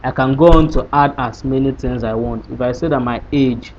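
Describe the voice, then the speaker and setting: A man's voice: medium pitch, moderate pace, darkish tone. A man teaching a programming lesson, explaining code step by step and asking rhetorical questions as he goes. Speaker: programming tutor. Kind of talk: screen-recorded coding lesson. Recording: dull lo-fi sound with faint hiss.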